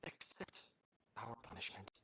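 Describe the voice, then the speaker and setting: A man's voice: a little slow, bright, forceful tone, slight background noise. A man playing a resigned, repentant young man in an anime game, grave and restrained.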